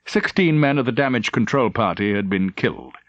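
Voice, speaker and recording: A man's voice: mid-range voice, expressive, a little fast, no echo, dull audio. A man narrating a novel as a scripted, dramatic audiobook reading.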